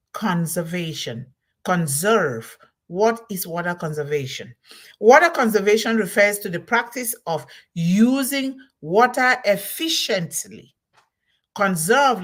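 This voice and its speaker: Emphatic woman giving a talk. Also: fairly low pitch. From Cameroon. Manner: emphatic, repeats key words, asks listeners "right?". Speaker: host of a motivational talk series. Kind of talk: solo motivational talk.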